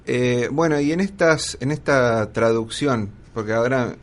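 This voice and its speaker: A man's voice: medium pitch, unhurried. A man hosting an interview, asking long, meandering questions with many fillers. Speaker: radio host. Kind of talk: conversational interview.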